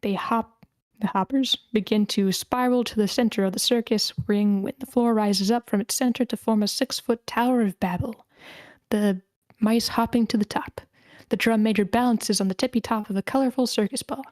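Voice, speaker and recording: A woman's voice: a little high, fairly even intonation, moderate pace, a little mellow, no room sound. A woman reading a screenplay's stage directions as the narrator in scripted narration.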